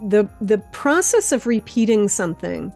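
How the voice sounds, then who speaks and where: A woman's voice: medium pitch, sing-song, normal speed, creaky, a little mellow, faint music behind. A woman speaking as a guest in casual conversation, reflective and hesitant, often questioning herself as she goes. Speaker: guest, dance maker and teacher.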